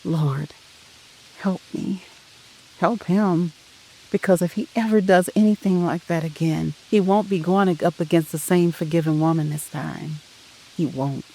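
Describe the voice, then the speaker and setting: A narrator voicing an insecure wife of fifty-five in an audio drama, soft and emotional. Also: mid-range voice, unhurried, creaky, rough recording. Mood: pleading, bitter. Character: insecure, wounded 55-year-old wife, loving but fearful.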